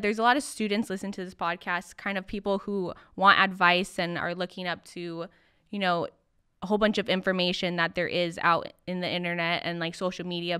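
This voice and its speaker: Female interviewer, crisp and bright, casual, chatty, encouraging podcast host. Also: lowish voice, no room sound, studio quality.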